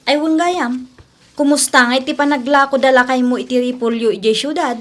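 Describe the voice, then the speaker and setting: A woman's voice: high voice, fairly even intonation, fairly quick, a bit bright. A woman playing a chatty, nosy village woman in an Ilocano radio drama, with an Ilocano Filipino accent and an inquisitive manner.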